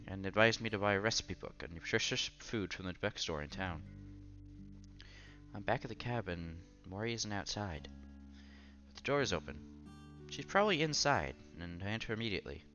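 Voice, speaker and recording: A man's voice: fairly low pitch, bright, forceful tone, lo-fi sound. A man doing a casual let's-play, reading a visual novel aloud with asides and stumbling over words as he goes.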